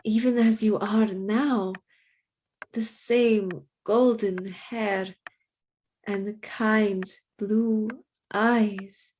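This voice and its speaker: Mysterious young noblewoman, radio drama, languid, drawn-out phrasing, intense, formal, faint noise. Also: mid-range voice, fairly even intonation, darkish tone. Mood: astonished, intense. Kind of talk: dramatic radio play acting.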